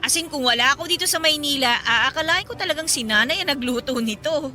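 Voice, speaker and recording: A practical, guarded young working woman in a radio drama. Her voice is high and bright, and she talks in a matter-of-fact, conversational way over background music. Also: animated, fast. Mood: fond, light. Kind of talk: Tagalog radio drama acting. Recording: music behind the voice.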